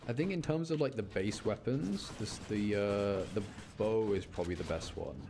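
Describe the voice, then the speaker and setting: A man's voice: lowish voice, lively intonation, normal speed, gravelly, warm tone, a little hiss or hum. A man giving casual gameplay commentary, talking to himself and explaining his choices as he rambles along. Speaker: gaming content creator.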